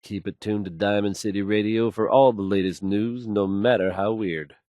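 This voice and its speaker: An actor playing a confident, smooth-talking radio DJ in a post-apocalyptic video game, announcing songs with folksy wry asides. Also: male voice, on the low side, steady tone, normal speed, muffled recording, background noise, natural voice. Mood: wry, upbeat.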